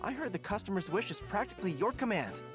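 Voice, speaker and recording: A man's voice: high-pitched, very animated, fast talker. A man voicing an earnest, formal young general who gets easily flustered, in a video game. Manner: formal military phrasing with flustered stammers. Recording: background music under voice.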